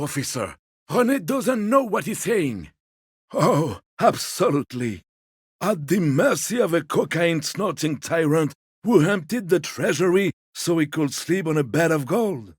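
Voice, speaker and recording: An actor voicing a gentle, sociable elderly man in a video game, courteous and wistful, teasing softly and taking his time. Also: on the high side, husky, dry recording, studio quality, full character voice. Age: 70s or older. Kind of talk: video game character dialogue.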